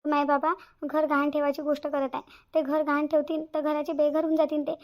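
A woman's voice: high-pitched, monotone, fairly quick. A woman with a Varhadi Marathi accent voicing a selfless, earnest young bride-to-be in a cartoon family drama. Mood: distressed, earnest. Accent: Varhadi Marathi from Vidarbha. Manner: earnest, repeats phrases emphatically. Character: anxious, selfless young bride-to-be, tenderly devoted.

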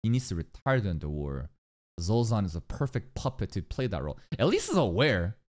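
A man's voice: fairly low pitch, a little fast. A young man streaming live reactions to an anime, talking back at the screen and swearing freely. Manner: animated, swears a lot, talks back at the screen. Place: small room indoors. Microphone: desk mic on arm.

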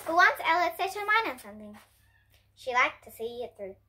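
A girl of about ten to twelve reading a picture book aloud to young children in an expressive, presentational way. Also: sits high, brisk, a little hiss or hum. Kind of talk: storybook read-aloud for children. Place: indoors at home, in front of bookshelves.

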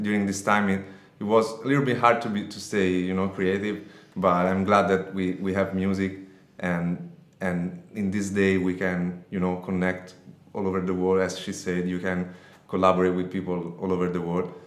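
Very deep, flat-voiced young man from Italy. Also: roomy sound. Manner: brief, halting, agreeable replies with non-native phrasing. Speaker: guitarist guest. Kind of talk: casual interview. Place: small bright studio room. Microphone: studio condenser mic on stand.

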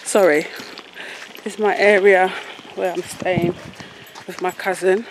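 A woman's voice: fairly low pitch, fairly even intonation, a little slow, rough recording. A woman with a London accent chatting casually to a handheld camera as she vlogs. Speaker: vlogger. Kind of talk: casual vlog chat. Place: outdoors on a village path and at a small shop. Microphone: handheld camera at arm's length. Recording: clear outdoor and room noise.

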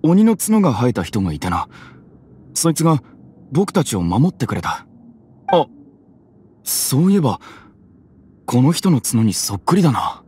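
A man voicing a village father in a game, steady and explaining things plainly. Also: medium pitch, normal speed, pauses often, slightly rough. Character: anxious village father, level-headed. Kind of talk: game voice acting.

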